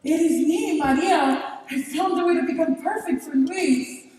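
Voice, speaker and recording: A woman's voice: very high voice, a little slow, a little mellow. A woman playing a distressed young beauty aged into an old woman, earnest and pleading in a stage play. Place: large indoor theater stage. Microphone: distant camera. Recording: echoey theater stage from far off.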